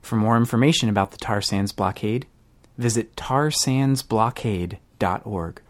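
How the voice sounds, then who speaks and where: A man's voice: fairly low pitch, unhurried. A man hosting a podcast, reading scripted news narration. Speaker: podcast host.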